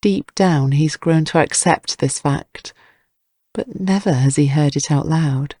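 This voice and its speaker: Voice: mid-range voice, unhurried, warm tone, no room sound, clean studio sound. A narrator reading scripted dramatic prose for an audio drama.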